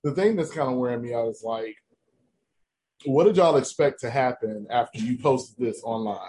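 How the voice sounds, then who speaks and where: A man hosting a casual discussion, giving emphatic and animated opinions. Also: medium pitch, moderate pace, poor audio. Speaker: host.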